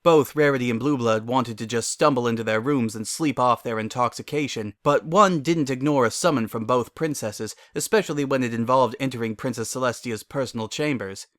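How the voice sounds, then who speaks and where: A man narrates a scripted story in a medium-pitched voice. The recording is dry, with hardly any room sound. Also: fairly quick, hardly any pauses, a bit bright, dry recording.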